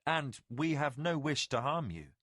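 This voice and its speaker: A man voicing a calm young spellcaster. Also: fairly high pitch, unhurried, no room sound, clean studio sound, full character voice.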